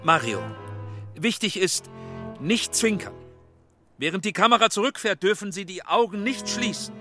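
Middle-aged movie director in a radio play, bright urgent-edged voice, explains shots emphatically, pretty animated. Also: male voice, sits high, normal speed, faint music behind. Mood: instructing, patient. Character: weary, accommodating middle-aged film director coaching extras. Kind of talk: German radio drama acting.

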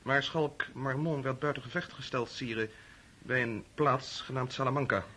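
A deferential military officer in a radio drama, acted by a man. His voice is bright and forceful, of medium pitch. He is formal and careful, with faint noise behind him. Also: steady tone, normal speed, close to an everyday voice. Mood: respectful, uneasy. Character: deferential, formal French colonel reporting to his emperor.

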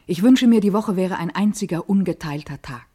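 Husky, mellow, eager schoolgirl. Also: lowish voice, fairly quick. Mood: restless, intimate. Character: vain, eager adolescent schoolgirl hungry for life. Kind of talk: literary radio drama monologue.

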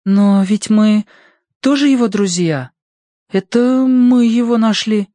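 A man acts out an earnest young child in an audiobook space adventure. The voice is high and lively in pitch, plaintive and heartfelt, recorded very dry. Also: a little slow, dry recording, exaggerated voice. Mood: unsure, pleading.

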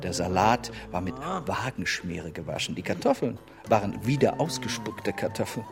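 An actor playing a fastidious, put-upon man who narrates in a German radio play, dry and fussy, prone to indignation. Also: low-pitched, very animated, over music. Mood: disgusted, resigned. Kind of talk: German radio play acting, interior monologue.

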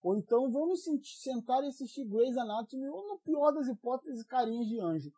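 A man from Rio de Janeiro hosting a casual podcast, teasing his co-hosts with quick joking asides. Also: very high voice, lively intonation, mellow, husky. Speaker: podcast host.

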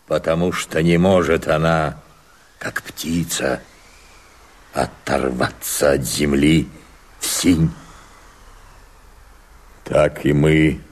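An older man, an actor playing a bitter, defiant old Cossack peasant, declaiming verse slowly and weightily in a radio play with a husky voice. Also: deep voice.

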